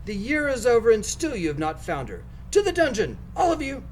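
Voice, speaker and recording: Snotty furious prince, guy acting it out, yelling, high voice, bossy, storybook, some noise. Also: huge pitch swings, fairly quick, full character voice. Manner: shouting, imperious. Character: greedy, arrogant, raging young male prince. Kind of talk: storybook character voice in read-aloud.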